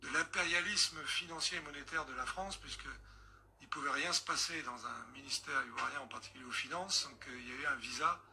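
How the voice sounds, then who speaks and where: A man, a former adviser, recalling his experience in a measured, matter-of-fact way. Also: medium pitch, gravelly, background noise.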